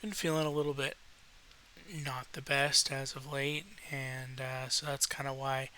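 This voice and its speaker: Slow, slightly rough-voiced man rambling. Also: mid-range voice, level tone, sharp tone. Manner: hesitant, winding-down, frequent pauses. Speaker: video host. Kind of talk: relaxed late-night ramble, reading trivia aloud. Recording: noticeable faint background hiss.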